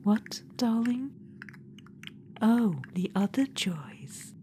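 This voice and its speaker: A woman's voice: a little high, big pitch swings, extremely slow, a little hiss or hum, full character voice. A woman voicing a scheming, ancient undead witch-queen spirit in a whispered ASMR audio roleplay, silky and condescending, calling the listener darling. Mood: silky, coaxing. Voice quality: soft unvoiced whisper.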